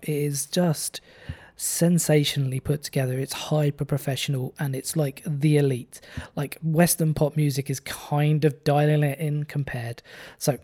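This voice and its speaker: Husky-voiced radio DJ with a cold. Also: mid-range voice, dark tone. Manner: chatty, with frequent "um" and "like" fillers. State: has a cold, sounds husky. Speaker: radio DJ presenting music. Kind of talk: casual on-air chat between songs.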